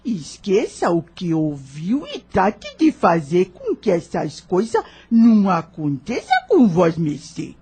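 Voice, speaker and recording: An old Brazilian nursemaid from an audio drama, played by a woman, sorrowful and protective. Her voice is very husky and weathered, rather low. She speaks very slowly in rustic folk phrasing, with lively rises and falls. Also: heavily acted. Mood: pleading, protective. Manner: slow rustic folk speech, solemn storytelling.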